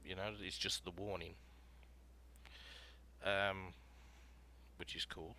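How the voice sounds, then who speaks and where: A man hosting a writing podcast panel, explaining prompts and telling scenes out loud in a casual, rambling way. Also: sits low, flat delivery, takes it slowly, vocal fry. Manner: explanatory, storytelling, filler-heavy.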